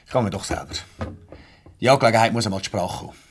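An actor with a Swiss accent playing a brusque, irritable office boss in a radio comedy, curt and reproachful. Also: male voice, fairly low pitch, animated, fast, a little hiss or hum, close to an everyday voice. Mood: irritated.

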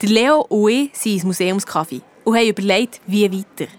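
A woman's voice: medium pitch, fairly quick, music in the background, lightly acted. A woman playing a young girl detective who narrates her own adventure confidingly in a radio play.

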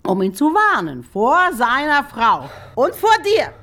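A woman's voice: on the high side, wildly swooping pitch, unhurried, fairly bright tone, full character voice. An actress playing a dry, jealous woman, unhappily in love and tersely sardonic, in a German radio crime drama. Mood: defensive, cool.

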